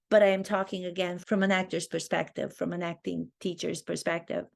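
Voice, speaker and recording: A woman with a Romanian accent, an acting teacher thinking aloud and commenting to camera in her own video. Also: medium pitch. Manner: thinks aloud, earnest and reflective. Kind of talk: talking-head commentary video.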